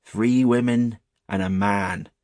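A man performs an old English shepherd from a storybook. He speaks with a rural accent in an emphatic, grave way, full of caution. The sound is dry and slightly husky. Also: mid-range voice, unhurried, no echo, pitched above the performer's own voice. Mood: grave warning. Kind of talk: storybook character voice.

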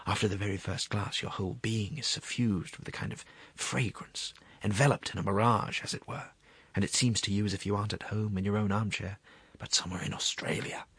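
A man's voice: lowish voice, normal speed, slightly rough, no room sound. A man voicing a wheedling, gluttonous court secretary in a storybook reading, savouring his words in a confiding, coaxing way. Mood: hushed, confiding.

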